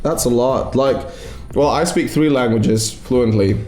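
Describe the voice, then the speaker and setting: A young man from Latvia, chatting on a podcast in a curious, animated way. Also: mid-range voice, a little slow, echoey room. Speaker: podcast co-host, actor. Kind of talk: casual chat.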